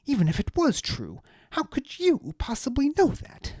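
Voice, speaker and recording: A narrator doing a shrill, huffy pompous man. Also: huge pitch swings, moderate pace, a little mellow, breathy edge, no room sound, clean studio sound, heavily acted. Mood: indignant. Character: pompous, petulant male scribe-like noble, easily outraged.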